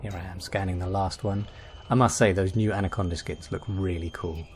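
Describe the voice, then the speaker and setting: A man's voice: low-pitched, fairly even intonation, fairly quick, darkish tone, slight background noise. A man giving casual guide commentary for a video game, as the host of a gaming video.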